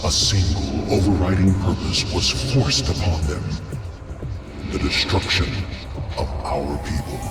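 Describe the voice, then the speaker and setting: Solemn alien warrior spirit, game cutscene, stately, echoing, distant. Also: male voice, deep voice, animated, measured pace. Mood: grave, prophetic. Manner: grave, stately, prophetic. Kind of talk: video game cutscene acting. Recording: echoing distant voice under loud music.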